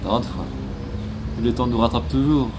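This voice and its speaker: A man's voice: mid-range voice, steady tone, normal speed, close to an everyday voice. An actor playing a nervous, deferential male informant in a French audio drama, hesitant and colloquial, eager to explain himself. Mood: earnest, deferential. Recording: noisy outdoor street ambience.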